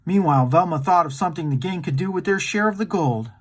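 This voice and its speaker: A man reading a children's storybook aloud in an animated storytime style. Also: high-pitched, fast talker.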